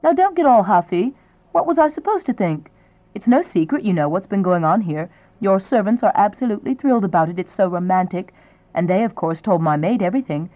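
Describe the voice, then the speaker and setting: A woman's voice: medium pitch, no room sound, lightly acted. A woman voicing a young woman who chatters eagerly and gushes. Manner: eager, gushing, lively. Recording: tinny, phone-like sound.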